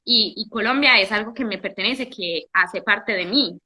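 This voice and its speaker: A young woman from Italy, a guest in a casual interview, telling her story in an animated way with many restarts. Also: mid-range voice, moderate pace, slightly rough. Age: young adult.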